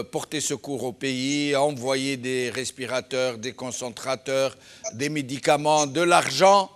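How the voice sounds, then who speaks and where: A man's voice: a little high, a bit bright, smooth. A man hosting and moderating a political debate on television. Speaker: TV debate host. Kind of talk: moderating a live political discussion.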